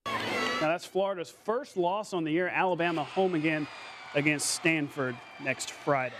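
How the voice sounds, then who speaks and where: An American man anchoring a TV sportscast, calling highlights in a playful, colloquial, punchy way. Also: lively intonation, normal speed, noisy background. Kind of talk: scripted sportscast with highlight commentary.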